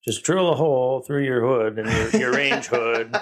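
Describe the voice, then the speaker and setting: A man's voice: mid-range voice, normal speed. A man co-hosting a casual beer podcast, making dry joking asides between short agreements, recorded in a small echoey room with a tinny sound. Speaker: podcast co-host. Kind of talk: casual chat.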